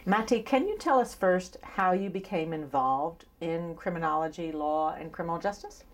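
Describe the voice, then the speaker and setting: A woman's voice: fairly low pitch, expressive, normal speed. A middle-aged woman introducing an interview in a formal manner, reading from notes. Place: small indoor interview set.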